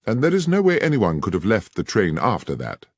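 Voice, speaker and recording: A man reading aloud, voicing a courteous, probing gentleman detective. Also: fairly low pitch, huge pitch swings, brisk, no echo, clean studio sound, pitched above the performer's own voice, heavily acted. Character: keen, incisive gentleman detective.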